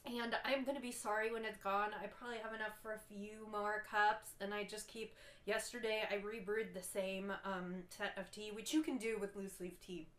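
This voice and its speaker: A woman's voice: mid-range voice, flat delivery, moderate pace, hardly any pauses, fairly bright tone, roomy sound. A woman hosting a casual knitting video podcast, stuffy and congested from a cold, chatting along with frequent ums. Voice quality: nasal, stuffy. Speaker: podcast host.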